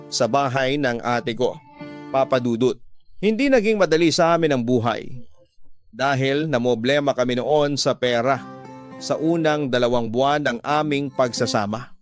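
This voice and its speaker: A Filipino man with an accent from the Philippines, reading a scripted dramatic story as a radio drama host. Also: medium pitch.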